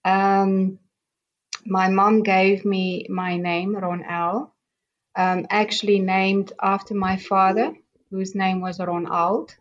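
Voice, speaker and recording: A middle-aged woman from South Africa, a native Afrikaans speaker with a South African accent, slowly telling her life story as a guest, with many pauses and ums. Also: medium pitch, flat delivery. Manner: slow, halting, many ums and restarts. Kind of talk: reflective personal storytelling. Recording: dull lo-fi sound.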